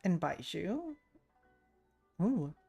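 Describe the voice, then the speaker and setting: A woman's voice: lowish voice, very animated, very slow, vocal fry, mellow, breathy edge. A woman livestreaming a game with casual, rambling, giggly chat. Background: game music.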